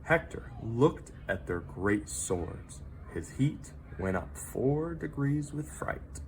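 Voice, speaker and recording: A man reads a children's story aloud in an expressive, dramatic storyteller manner, pausing for effect. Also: mid-range voice, slight background noise, poor audio. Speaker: teacher reading a picture book aloud.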